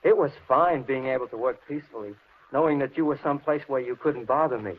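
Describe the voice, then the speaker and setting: A man playing a menacing, unhinged handyman in an old-time radio thriller, speaking with slow, deliberate, softly threatening calm. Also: mid-range voice, close to an everyday voice. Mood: quietly taunting. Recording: muffled, hissy vintage radio recording.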